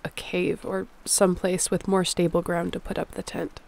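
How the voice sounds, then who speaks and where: A woman's voice: on the low side, monotone, moderate pace, vocal fry, darkish tone. A woman playing a sick, stubborn survivor of a zombie apocalypse in an audio roleplay, speaking in a weary, conversational way. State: feverish, under the weather.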